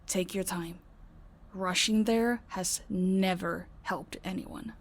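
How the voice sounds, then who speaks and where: A woman playing a caring, calm vampire in an audio roleplay, speaking in a soft, gentle, concerned way. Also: on the low side, takes it slowly. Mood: reassuring. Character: caring, calm female vampire who looks after her housemates.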